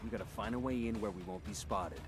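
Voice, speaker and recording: A man's voice: lowish voice, fast talker, loud music behind the voice, close to an everyday voice. Man playing a clipped squad leader. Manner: clipped, tactical. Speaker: special forces squad leader. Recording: game audio with very loud background noise.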